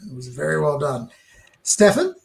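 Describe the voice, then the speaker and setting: A man's voice: a little high, very animated, moderate pace, vocal fry. A man moderating a filmmaker roundtable, complimentary toward his guests as he speaks to them.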